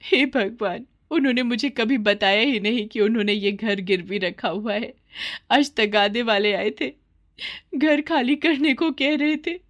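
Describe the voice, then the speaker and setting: A woman's voice: on the high side, measured pace, muffled recording, pitched above the performer's own voice, close to an everyday voice. A woman voicing a loving, worried mother of a grown son in a cartoon fairy tale, in an emotive way. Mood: distraught.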